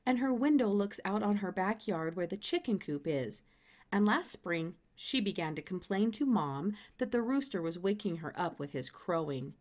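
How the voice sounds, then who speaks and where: A woman reads a children's story aloud. Her voice sits at an ordinary middle pitch for a woman, and she narrates expressively as a storybook reader. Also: darkish tone.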